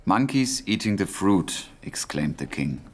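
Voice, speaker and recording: An actor playing a composed, businesslike intelligence officer in a German radio drama, speaking in a measured, dry manner. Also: male voice, deep voice, very animated, noisy background, heavily acted. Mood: measured reading.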